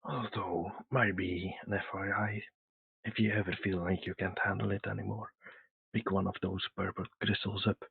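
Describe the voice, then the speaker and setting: A man playing a tabletop roleplaying game online, trading dry deadpan quips. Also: sits low, moderate pace, gravelly. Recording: tinny online call audio.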